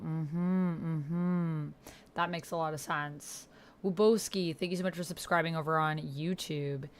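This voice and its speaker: A woman's voice: fairly low pitch, clean tone. A woman hosting a casual livestream, talking to herself and reacting aloud as she reads along in a text roleplay game. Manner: talks to self, reacts aloud, murmurs while reading and typing. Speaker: livestream host. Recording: background noise with keyboard typing. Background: computer keyboard typing.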